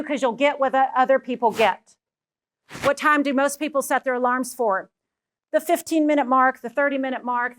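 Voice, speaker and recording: A woman with a Texan accent, giving a motivational talk to a live audience in an energetic, personal way and speaking straight to the listeners. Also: high-pitched. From Texas. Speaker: keynote speaker.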